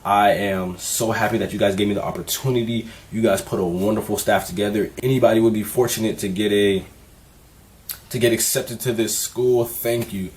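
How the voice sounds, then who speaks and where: A man in his twenties vlogging casually, candid and relaxed, with plenty of fillers as he talks through his experiences. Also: lowish voice, moderate pace. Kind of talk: casual vlog advice.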